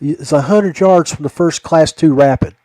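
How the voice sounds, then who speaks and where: A man with a somewhat high voice hosts a casual podcast chat. He spins rambling, folksy stories, and the sound is fairly dry and close. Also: normal speed, mellow, no echo. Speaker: podcast host.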